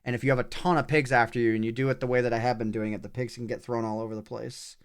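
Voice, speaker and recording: A man's voice: mid-range voice, little pitch movement, very fast. A man livestreaming a video game with casual commentary, thinking aloud in short, broken-up remarks as he plays. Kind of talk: casual live gameplay commentary. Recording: clear background noise, clicking.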